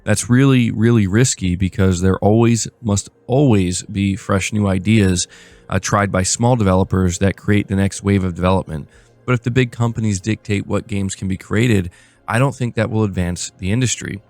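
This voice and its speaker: A man's voice: fairly low pitch, normal speed, a little mellow, faint music behind. A man hosting a gaming news show, reading reports aloud and adding casual commentary.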